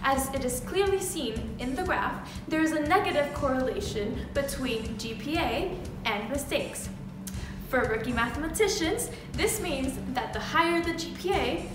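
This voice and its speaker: High-voiced woman explaining charts. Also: far from the mic. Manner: explaining, teacherly, with asides. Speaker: mock statistician guest. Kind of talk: scripted explanatory presentation. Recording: echoey room from afar, music behind.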